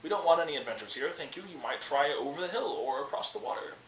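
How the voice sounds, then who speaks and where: Fussy middle-aged storybook hobbit played by a male reader, polite, unadventurous, lifted pitch, roomy distant recording. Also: animated, quick pace, a bit bright. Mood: cross, dismissive. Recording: roomy, distant webcam mic with noise.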